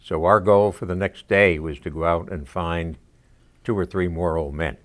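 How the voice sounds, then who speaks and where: A man in his eighties telling a story in a relaxed documentary interview. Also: low voice, moderate pace. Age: 80s. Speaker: veteran telling the group's story.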